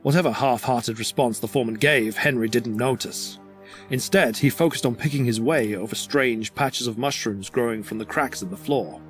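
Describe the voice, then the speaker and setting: A man's voice: mid-range voice, moderate pace. A man giving scripted narration for a horror audio drama. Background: music.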